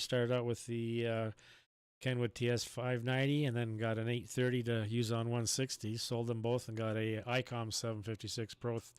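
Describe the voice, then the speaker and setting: A man chatting casually as an amateur radio operator, talking in a halting, rambling way. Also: mid-range voice, clear, resonant tone, no echo. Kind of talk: casual chat over ham radio.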